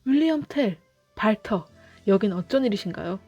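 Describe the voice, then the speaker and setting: A woman's voice: mid-range voice, lively intonation, normal speed, mellow, breathy edge, dull audio, soft background music. A woman voicing a lovestruck young man in a storybook, earnest and emotional.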